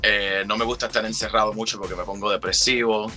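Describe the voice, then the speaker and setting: A man in his thirties from Cuba with a Cuban accent, chatting as an interview guest, relaxed and quick to laugh. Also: on the low side, faint music behind. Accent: Cuban Spanish. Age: 30s. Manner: relaxed, chatty, laughs often, many fillers. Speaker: guest, drag performer and singer. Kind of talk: casual interview chat.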